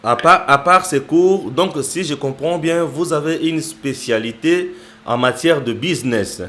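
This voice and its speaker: A man's voice: a little high, measured pace, fairly bright tone. A man hosting an online interview show, greeting viewers formally and firing off emphatic questions at his guest.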